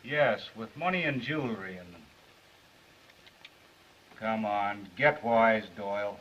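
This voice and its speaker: Slow, menacing 1930s gangster. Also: male voice, lowish voice, a little hiss or hum. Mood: cool, insinuating. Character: menacing male gangster with a gun, cool and threatening. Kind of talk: 1930s crime film acting. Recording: dull, muffled 1930s film soundtrack.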